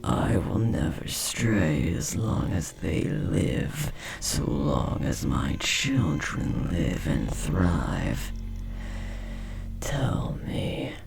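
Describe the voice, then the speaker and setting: A man voicing a possessive, menacing insectoid parasite devoted to his mate in a horror audio roleplay, with a breathy, gravelly whisper and a condescending manner. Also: low voice, sing-song, over music, exaggerated voice. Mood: smug, obsessive. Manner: slow, sinister, coolly condescending, tender about family.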